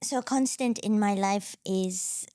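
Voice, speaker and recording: A woman's voice: mid-range voice, unhurried, mellow, dry recording. Reflective woman guest, dry close sound. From Zambia. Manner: reflective, thinking aloud with restarts. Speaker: guest, entrepreneur coach. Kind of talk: conversational interview.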